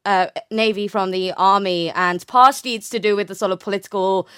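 Young female academic guest, smooth clear voice, self-correcting conversational explainer, very dry sound. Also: medium pitch, fairly even intonation, fairly quick, fairly bright tone, dry recording. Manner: hesitant phrasing, frequent hedging and self-correction. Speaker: interview guest, naval history researcher.

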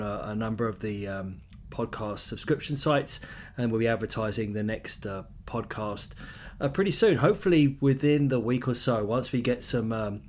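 English-accented man, solo podcast host, easygoing ramble with frequent fillers, mid-pitched voice. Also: a little mellow. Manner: chatty, loose, many ums and uhs.

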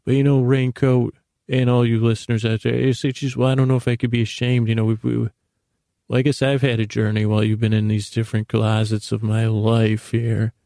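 Creaky-voiced man, halting and rambling. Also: medium pitch, level tone, dry recording, clean studio sound. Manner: meandering, halting, often trailing off and restarting mid-sentence; self-deprecating, gently absurd, addressing objects as people. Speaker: podcast host. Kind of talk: rambling whimsical solo podcast monologue. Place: small closet indoors, dry sound.

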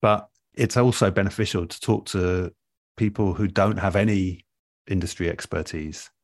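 A man in a relaxed interview, explaining things in a thoughtful, measured and earnest way as an experienced producer. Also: sits low, no echo, clean studio sound. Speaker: interview guest, audio drama producer. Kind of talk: relaxed advice-giving conversation.

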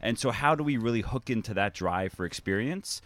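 A man guesting in a casual chat, with a loud voice and a laid-back, filler-heavy way of talking. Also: lowish voice, lively intonation, brisk, no room sound. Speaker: guest, theater company member.

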